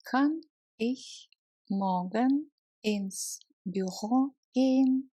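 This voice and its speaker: A woman narrating a language lesson, reading short scripted phrases deliberately and separating the words for learners. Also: fairly high pitch, warm tone, no echo.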